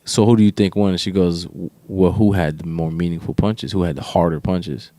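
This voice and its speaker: A man's voice: sits low, fairly even intonation, brisk, dark tone, no room sound. A man co-hosting a podcast, talking sports casually in an emphatic, opinionated, slangy way. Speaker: podcast co-host.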